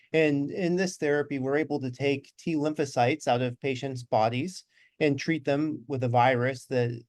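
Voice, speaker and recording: A man in his thirties, a physician guest on a video call, explaining in a measured way with some fillers. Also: medium pitch, a little mellow, no room sound. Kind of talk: interview.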